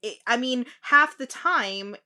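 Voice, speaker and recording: Blunt, bright-voiced woman podcaster. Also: on the high side, slow pace. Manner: blunt and profane, exasperated asides. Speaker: podcast co-host. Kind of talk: casual podcast chat.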